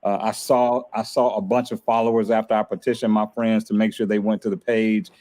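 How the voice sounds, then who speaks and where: A man's voice: fairly low pitch, level tone, brisk, a little mellow. A man hosting a casual podcast, talking in a laid-back, grateful way and laughing often. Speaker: podcast host. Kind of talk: casual chat.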